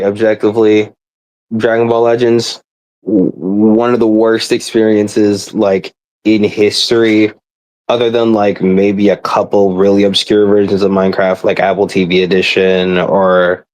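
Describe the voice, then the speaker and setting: A man's voice: on the low side, darkish tone, no room sound, rough recording. A young man on a casual, rambling gamer rant, exasperated, blunt and sweary.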